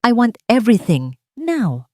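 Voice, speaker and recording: A woman's voice: fairly high pitch, wildly swooping pitch, a little slow, warm tone, no echo, studio quality, voice pushed up. A woman with a Filipino accent voicing a protective, authoritative wealthy man in a romantic story. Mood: cold, commanding. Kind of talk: romantic audio drama storytelling.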